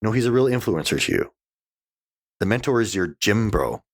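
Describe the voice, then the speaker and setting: A man in his forties from Canada with a fairly low voice, a guest on a podcast. He talks casually and gets animated and emphatic, stumbling over restarts and filling gaps with "you know" while speaking close to a desk mic. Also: moderate pace. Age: 40s. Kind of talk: casual conversation. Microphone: desk mic he keeps knocking.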